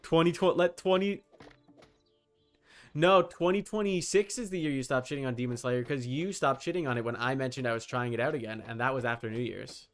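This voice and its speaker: A man's voice: mid-range voice, fairly even intonation, brisk. Casual male game streamer over music. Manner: informal, teasing, swears casually. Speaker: livestreamer playing a game. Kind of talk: casual livestream chat with viewers. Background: background music.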